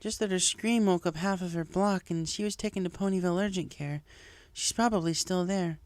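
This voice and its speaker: A man's voice: sits high, a little fast, dry recording, voice pushed up, lightly acted. A motherly cartoon mare who runs a bakery, acted by a man. The voice is raised, chatty and confiding, with a dry close sound. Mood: uneasy. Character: chatty, motherly female bakery pony, uneasy.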